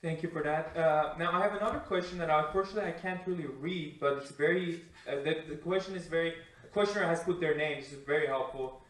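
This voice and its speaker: A man's voice: a little high, fast, hardly any pauses, a bit bright. A man moderating a panel informally, with a hesitant, polite way of talking. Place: indoor conference room. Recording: very echoey room, distant mic.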